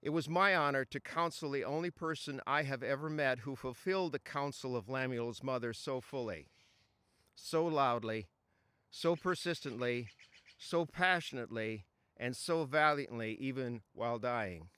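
A man's voice: mid-range voice, moderate pace, bright, forceful tone, clean tone, no room sound. Older man reading a solemn tribute. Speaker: reader of a friend's tribute. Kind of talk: reading a written eulogy aloud. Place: outdoors at a funeral gathering among trees. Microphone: stand mic.

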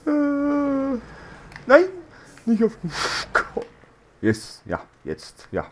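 A man's voice: very high-pitched, huge pitch swings, drawn-out words. A man streaming an off-road driving game, chatting casually with a friend and playfully exclaiming and sing-songing as he drives. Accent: German. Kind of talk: casual gaming chat with a friend.

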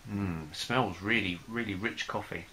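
A young man casually reviewing a beer at home, talking in a relaxed, hesitant way with many fillers. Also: low voice, a little slow, a little hiss or hum. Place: small room in front of a plain wall.

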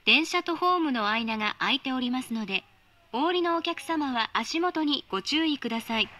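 A woman giving polite, formal automated train announcements in a measured voice. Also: sits high, lively intonation, pushed and bright.

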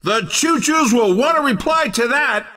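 An actor voicing an excitable, showy racing game commentator with a shouted, punchy delivery. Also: male voice, very high voice, moderate pace, distant mic, exaggerated voice. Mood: excited, teasing. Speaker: race commentator.

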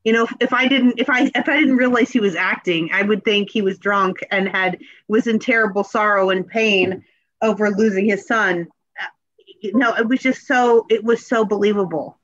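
A woman speaks in an earnest, appreciative way, as in a casual panel discussion. Her pitch sits in the middle range. The sound is slightly dull, like video-call audio. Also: moderate pace, a bit bright. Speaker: panel participant in a theatre talkback.

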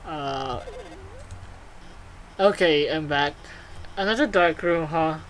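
A woman's voice: low voice, slow pace, slight background noise, rough recording. A woman hosting a let's play, reading game dialogue aloud and reacting casually. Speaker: gaming video host.

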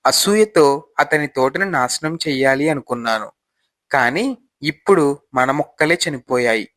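A man's voice: a little high, expressive, a little slow, fairly bright tone, no echo, studio quality. A man playing an envious, brooding village husband who gardens, in a moral storybook tale. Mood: guilty, remorseful.

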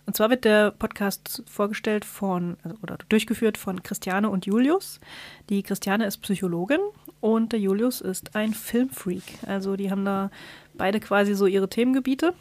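Female podcast co-host: mid-range voice, conversational, halting explainer, recorded dry. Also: mid-range voice, darkish tone, no room sound. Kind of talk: casual podcast chat.

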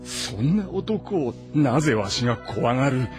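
Haughty warlord, widely swinging pitch. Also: male voice, big pitch swings, unhurried. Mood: brooding. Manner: haughty, brooding, old-fashioned lordly speech. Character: proud, embittered ageing male warlord, quick to rage. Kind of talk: dramatic Japanese audio drama acting. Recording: music behind the voice.

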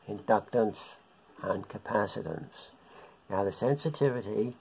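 A middle-aged man with a husky voice gives an informal beginners' tutorial, explaining haltingly in short phrases at home. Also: on the low side, rough recording. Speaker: hobbyist radio instructor. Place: home living room.

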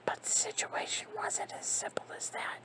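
Woman whispering a comic story. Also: normal speed. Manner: soft unvoiced whisper throughout. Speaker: narrator. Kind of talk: ASMR whispered audiobook reading.

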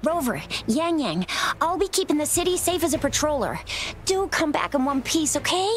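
High, lively young female patroller. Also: moderate pace, a little hiss or hum. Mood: earnest. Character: spirited, earnest young female city patroller. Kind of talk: video game voice acting. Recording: game music behind.

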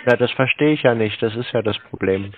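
A man's voice: medium pitch, a little fast. Phone-muffled man, pedantic and questioning. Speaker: scam-baiter posing as a customer on a call. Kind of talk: phone conversation. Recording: muffled narrow phone-like sound, dry.

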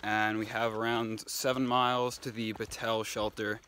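A man's voice: lowish voice, moderate pace, a little hiss or hum. A young man giving casual trail updates outdoors in a forest, filmed on a phone held at arm's length. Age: young adult. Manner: relaxed, matter-of-fact updates while hiking. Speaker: hiker vlogger.

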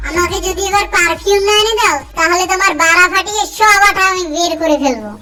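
A woman voicing a shrill nagging mango-tree wife. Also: quick pace. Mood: scolding. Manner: scolding, nagging, mock-scornful. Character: sharp-tongued female mango tree, bickering wife. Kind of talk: comic cartoon dialogue. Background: loud music.

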